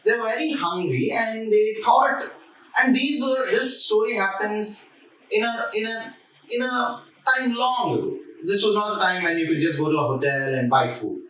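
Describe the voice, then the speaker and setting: A man's voice: sits high, moderate pace, bright, forceful tone. A man telling a story to children in a conversational, explanatory way, often repeating his phrases. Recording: very echoey room, distant mic.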